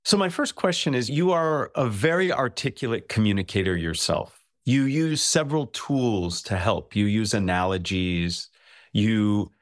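Low-voiced podcast host, dry sound. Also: male voice, no room sound. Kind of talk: podcast interview.

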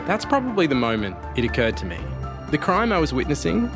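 A man's voice: a little high, big pitch swings, quick pace, music in the background. A man hosting and narrating a documentary in a wry, self-deprecating way. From Australia. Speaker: host and reporter.

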